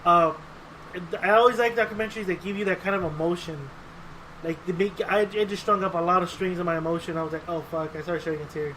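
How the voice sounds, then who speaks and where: Male podcast host, high-pitched, casual and sweary, emphatic repeats, faint noise behind him. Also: fairly even intonation, quick pace, a bit bright, low-quality recording. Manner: profane, emphatic, repeats phrases. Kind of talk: casual chat.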